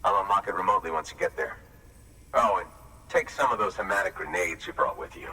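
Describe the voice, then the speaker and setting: Gruff, low-voiced older loner. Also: male voice, brisk, sharp tone. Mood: grudgingly friendly. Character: gruff, wary older prepper and weapon maker. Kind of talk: video game cutscene acting. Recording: slightly distant mic, faint background noise.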